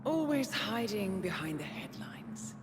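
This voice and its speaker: An actress playing a cool, calculating handler of an assassin in a video game cutscene, speaking in measured, deliberate phrases. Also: female voice, medium pitch, sing-song, heavy background noise. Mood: taunting.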